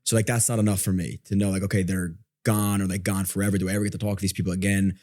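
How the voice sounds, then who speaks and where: Male podcast guest, slightly low register, inquisitive and conversational, explains himself as he talks. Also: little pitch movement, very fast, a little mellow.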